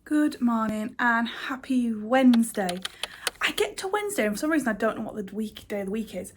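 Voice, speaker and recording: A woman's voice: fairly high pitch, expressive, fairly quick, over music. A young woman chatting to camera about books and her week, rambling with many fillers and restarts, and sounding stuffy from a cold. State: congested with a cold. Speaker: book vlogger. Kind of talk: casual chatty vlog to camera.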